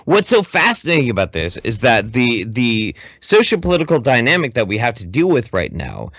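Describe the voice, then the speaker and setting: A man delivers opinionated podcast commentary with very lively, swooping intonation. He is emphatic and profane, repeating phrases as he builds his point. The sound is close and dry, a little dull on top. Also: mid-range voice, moderate pace, dry recording. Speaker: podcast co-host. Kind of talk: casual opinionated panel commentary.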